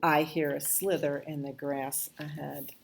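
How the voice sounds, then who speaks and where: A woman reads as a big male monster from a children's storybook. He is gullible and easily impressed, speaking in a low, measured, slow voice. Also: little pitch movement, vocal fry, heavily acted. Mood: uneasy, doubtful.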